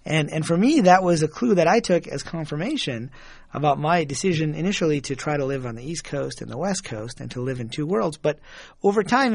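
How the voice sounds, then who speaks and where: Thoughtful man with a higher voice. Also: dry recording. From Michigan, USA. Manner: thoughtful, chuckles often, repeats words when starting. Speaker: guest, author and engineer. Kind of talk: reflective conversational storytelling.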